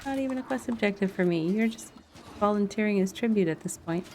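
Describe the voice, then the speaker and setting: A woman with a noticeably creaky, fry-edged voice at a medium pitch, chatting informally like a game streamer. She laughs easily and keeps it casual, with a light noise in the background. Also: fast. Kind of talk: casual livestream gameplay chat.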